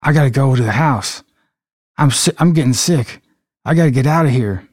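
A man's voice: medium pitch, measured pace, darkish tone, no room sound, studio quality, lightly acted. A man playing a terrified, folksy Southern fisherman with a put-on accent in an amateur dramatic reading. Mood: panicked.

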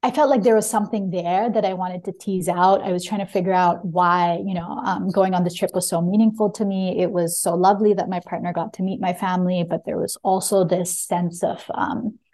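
A woman's voice: fairly low pitch, steady tone, brisk, few pauses, darkish tone. A woman author answering interview questions in a reflective, hesitant way, searching for her words as she goes. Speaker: debut memoir author, guest. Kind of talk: reflective interview answers, with a short reading at the start.

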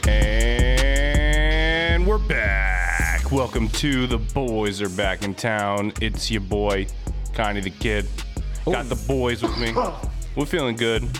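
A man's voice: fairly low pitch, takes it slowly, music in the background. A young man hosting a casual podcast, talking in laid-back slangy banter. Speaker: podcast host. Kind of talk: casual chat.

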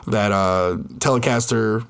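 A man's voice: fairly low pitch, unhurried, vocal fry, clear, resonant tone. Sick man rambling as podcast host. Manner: rambling, laughing, filler-heavy. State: sick with flu, congested. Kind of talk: casual chat.

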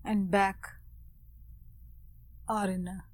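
A woman meditation teacher giving calm, measured guided instruction with pauses and Sanskrit mantra. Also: medium pitch, no room sound.

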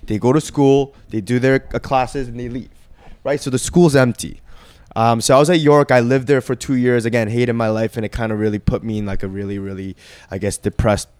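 A man's voice: mid-range voice, steady tone, fairly quick, a little mellow, clean tone, dry recording. A young man from Korea, a guest on a casual podcast, telling his life story in a laid-back way with lots of fillers. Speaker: guest, boxing trainer.

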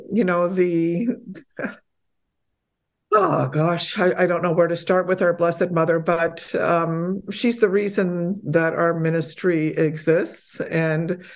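A middle-aged woman from Poland, a podcast guest chatting casually, laughing often and getting animated when excited. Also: fairly low pitch, takes it slowly. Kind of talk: casual interview chat.

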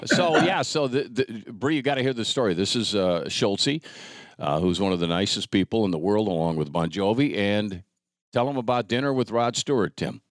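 A man's voice: on the low side, lively intonation, moderate pace, no room sound, studio quality. A middle-aged man chatting casually and telling stories in a rambling, self-deprecating way, breaking off mid-thought. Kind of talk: casual chat, storytelling.

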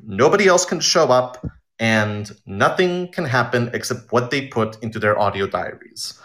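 A man talking in an informal interview as an audio drama creator, telling stories in an animated way and voicing imagined quotes. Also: mid-range voice, normal speed. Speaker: audio drama writer and director being interviewed.

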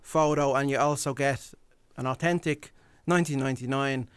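A man's voice: mid-range voice, fairly even intonation, normal speed, clean tone, no echo. An Irish man talking casually as a guest in a radio interview. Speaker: guest, impressionist and event MC.

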